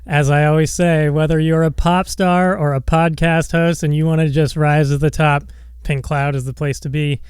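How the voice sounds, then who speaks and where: A man's voice: on the high side, flat delivery, moderate pace, few pauses, darkish tone, clean tone, no echo, studio quality. A young man hosting a casual podcast interview, rambling with fillers and restarts. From Alaska, raised in Seattle. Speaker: podcast host.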